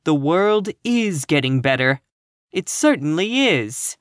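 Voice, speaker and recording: A narrator voicing a plump, timid but cheerful middle-aged woman in a storybook, earnest and gently insistent in her optimism. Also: medium pitch, sing-song, slow pace, dry recording, clean studio sound, voice pushed up. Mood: breathless, hopeful.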